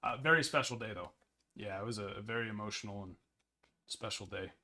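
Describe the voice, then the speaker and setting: A man hosting a sports card break livestream, chatting casually with viewers in a slightly hoarse voice. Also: a little fast, bright, forceful tone. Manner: chatty, rambling, upbeat sales pitches.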